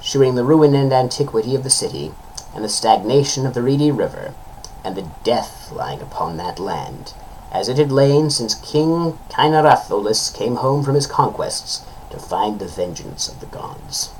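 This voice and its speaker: A man's voice: medium pitch, lively intonation, moderate pace, a little mellow, slight background noise, low-quality recording. A man giving a solemn, formal dramatic reading of a literary tale, drawing out his phrase endings. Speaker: narrator, reader of a story.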